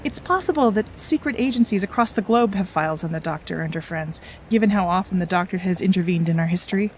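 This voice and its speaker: An actress playing a composed, courteous but firm medical woman in an audio drama, speaking in a measured way. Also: fairly low pitch, slight background noise, lightly acted. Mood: reflective. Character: composed, polite, firm female medical professional. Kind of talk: audio drama acting with reflective narration.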